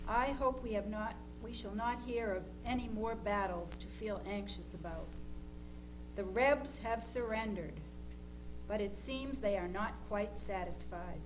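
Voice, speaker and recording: A woman playing an anxious, devoted 1860s New England mother, reading her letters in a measured way for a dramatization. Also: medium pitch, natural voice. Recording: noisy live room recording with hum.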